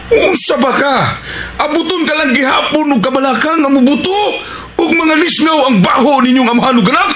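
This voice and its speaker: A man's voice: very high voice, moderate pace, fairly bright tone, echoey room, slight background noise. A man acting a hot-tempered, resentful criminal in a Filipino radio action drama, speaking Cebuano-accented lines with taunting indignation. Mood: angry, taunting.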